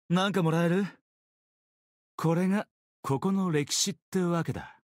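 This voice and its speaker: A man's voice: fairly high pitch, no echo, studio quality. A man playing an easygoing, teasing young swordsman in a mobile game, with a casual, playful drawl. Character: easygoing, teasing young swordsman, laid-back surfer temper. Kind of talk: Japanese mobile game character voice lines.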